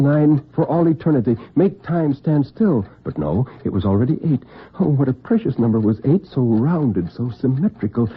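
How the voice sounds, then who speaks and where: Husky male suspense narrator on tinny old radio. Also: medium pitch. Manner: deliberate, literary, intense. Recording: tinny, band-limited vintage radio recording.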